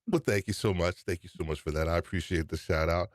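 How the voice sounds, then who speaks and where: A man's voice: deep voice, fast, dry recording, clean studio sound. A man with a New York accent hosting a live podcast stream, energetically greeting viewers by name. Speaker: podcast livestream host. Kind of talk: casual live-stream chat.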